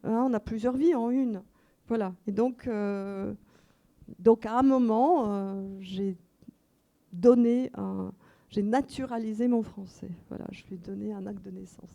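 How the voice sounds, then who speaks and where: Woman, Russian native, warm tone, reflective, many pauses, festival talk. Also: medium pitch, gravelly. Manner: reflective, hesitant with many fillers. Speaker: guest translator and author. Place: festival meeting room with audience.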